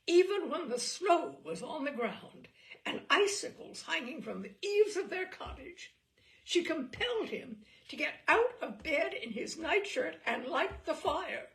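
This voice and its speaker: A woman reads amusing anecdotes aloud in a fairly high voice edged with creak. Her delivery is theatrical and deliberate, swinging widely in pitch to land the key words. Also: recorded from a distance. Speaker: storyteller reading aloud. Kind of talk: animated dramatic reading of humorous anecdotes.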